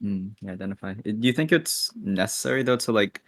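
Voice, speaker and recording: A man's voice: lowish voice, expressive, a little fast. A young man being coached, answering in short, hesitant bits. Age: young adult. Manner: hesitant, short tentative replies. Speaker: student player.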